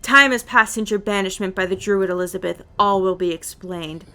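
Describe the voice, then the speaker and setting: A woman's voice: medium pitch, bright, forceful tone. Woman voicing a haughty old male game villain. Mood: ominous. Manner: haughty, deliberate. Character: menacing, scheming old male villain, a grandfather. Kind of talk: video game villain dialogue.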